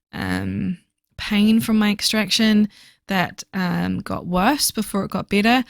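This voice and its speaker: A woman recording a casual voiceover for her reading journal video, chatty and fast-talking with lots of fillers, her voice slightly hoarse. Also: mid-range voice, no echo, clean studio sound. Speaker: book vlogger.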